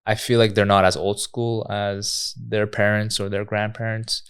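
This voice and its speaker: A man's voice: fairly low pitch, level tone, measured pace, clean tone. A man from Quebec co-hosting a podcast, agreeable and conversational, asking easy questions. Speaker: podcast host.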